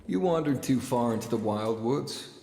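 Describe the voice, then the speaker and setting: An actor playing a grim, world-weary demon hunter in a motion comic, brooding and measured, protective and wry. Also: male voice, mid-range voice, lively intonation, gravelly, dark tone, a little hiss or hum. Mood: dry, guarded. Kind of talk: dramatic motion comic voice acting. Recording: echoey voice over music. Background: music.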